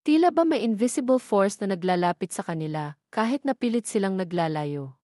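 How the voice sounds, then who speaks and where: A woman's voice: medium pitch, expressive, brisk, a little mellow, dry recording. Woman narrating a romance story, dry close sound.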